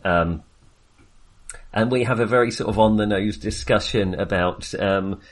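A man co-hosting a podcast, chatting casually about films in a halting, jokey, self-deprecating way. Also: on the low side. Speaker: podcast co-host. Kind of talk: casual film discussion.

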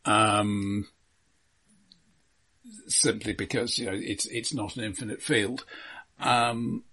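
Slightly husky man talking films, thoughtfully. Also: on the low side, fairly even intonation, takes it slowly, sharp tone, poor audio. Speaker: film discussion podcast co-host. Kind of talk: casual analytical film discussion.

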